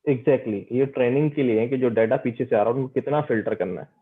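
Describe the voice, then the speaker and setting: A male teacher comes through a thin, phone-like line. His pitch is middling, and he explains in an instructional way, often repeating words and confirmations as he takes questions in an online lecture. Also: brisk, breathy edge. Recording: muffled phone-like radio-quality audio.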